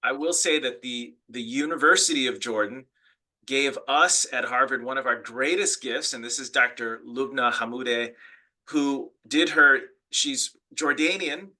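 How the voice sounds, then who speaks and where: A man's voice: mid-range voice, unhurried, sharp tone, rough recording. A middle-aged man with an American accent giving an online lecture, telling stories earnestly with fillers and restarts. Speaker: academic speaker.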